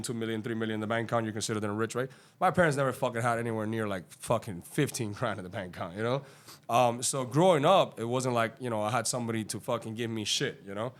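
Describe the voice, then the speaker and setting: A man's voice: on the low side, fairly even intonation. A man from Cuba, a guest on a podcast, chatting casually about his career, swearing freely and rambling with many fillers. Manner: profane, rambling, talks fast with lots of fillers. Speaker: guest, day trader.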